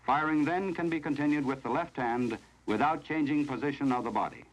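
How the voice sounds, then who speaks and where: An American man reading scripted narration in the formal, measured, instructional manner of a 1970s training film, on a vintage film soundtrack. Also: mid-range voice, low-quality recording.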